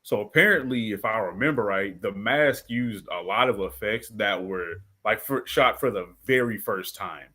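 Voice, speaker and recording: A man's voice: on the low side, moderate pace, few pauses, pushed and bright. A young man talking casually as a co-host on a group podcast.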